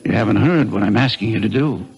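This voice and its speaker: A scheming middle-aged businessman who talks calm and persuasive. His voice has a gravelly edge, and he speaks with quiet, easy confidence. Old-time radio drama. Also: fairly low pitch, quick pace, low-quality recording. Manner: calm, persuasive, self-assured. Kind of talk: 1950s radio drama acting.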